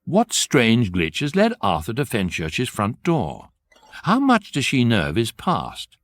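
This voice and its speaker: An actor playing the wry, urbane, measured narrator of a guidebook in a radio comedy, with a British accent. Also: male voice, medium pitch, huge pitch swings, fairly bright tone, dry recording. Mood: teasing.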